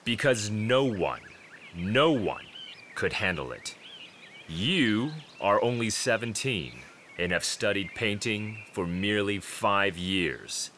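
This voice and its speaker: An actor playing a stern, condescending headmaster of a painting academy in a radio drama, admonishing and weighty. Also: male voice, lowish voice, pushed and bright, a little hiss or hum, heavily acted.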